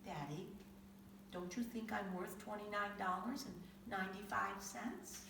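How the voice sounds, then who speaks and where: A woman voicing a stubborn, cheeky little girl in a read-aloud storybook, high and pleading. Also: wildly swooping pitch, slow, background noise, full character voice. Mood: soft. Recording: echoey room, from far off.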